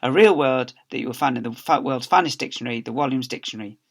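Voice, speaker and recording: A man's voice: mid-range voice, fast, creaky. A man, a teacher, reading a storybook aloud to young children with playful, theatrical emphasis.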